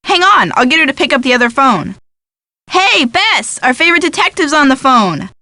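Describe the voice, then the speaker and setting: A woman's voice: high-pitched, sing-song, quick pace, bright, forceful tone, no room sound, clean studio sound, exaggerated voice. A woman playing a wry, breezy young American woman, a detective's loyal friend, in a video game. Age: young adult.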